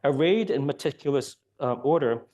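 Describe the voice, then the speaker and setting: A hoarse man giving an academic lecture, speaking softly with many ums and uhs. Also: medium pitch, lively intonation, normal speed. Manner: soft-spoken, many fillers. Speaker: guest lecturer.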